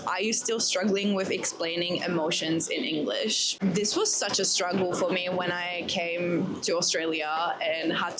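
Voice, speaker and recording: Chatty young woman with a Czech accent. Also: lowish voice. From Czech Republic. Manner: chatty, laughs often, quick asides to viewers. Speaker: vlogger. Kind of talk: casual Q&A vlog. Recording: noisy background ambience.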